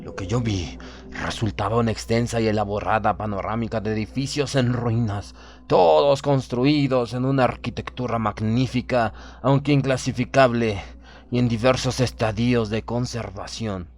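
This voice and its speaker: A man narrating a literary horror audiobook in a solemn, measured, formal manner. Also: medium pitch, fairly even intonation, darkish tone. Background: background music.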